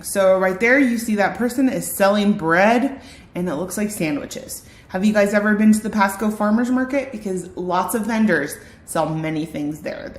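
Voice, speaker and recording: A woman teacher reading a picture book aloud to children, explaining words and often asking the young listeners questions directly. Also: mid-range voice. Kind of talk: read-aloud lesson with vocabulary explanations and questions to young viewers.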